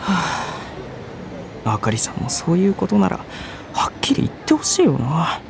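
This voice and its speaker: An actor playing an earnest, polite young office junior in a Japanese radio drama, easily exasperated. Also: male voice, a little high, huge pitch swings, normal speed, creaky, background noise, full character voice. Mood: frustrated, hurt. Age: young adult.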